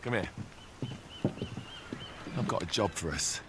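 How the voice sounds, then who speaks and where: An actor playing a cocky, smooth-talking British thief in his thirties, breezy and persuasive, in a video game. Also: sits low, lively intonation, takes it slowly, noisy background. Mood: cocky, teasing. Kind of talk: cinematic video game acting. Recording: music under the dialogue. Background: background music.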